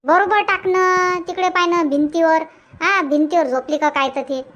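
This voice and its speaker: A woman's voice: extremely high pitch, fairly even intonation, normal speed, fairly bright tone, lo-fi sound. A woman voicing a scolding, boastful village housewife in a comic cartoon, nagging emphatically in a Varhadi Marathi accent. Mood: bossy.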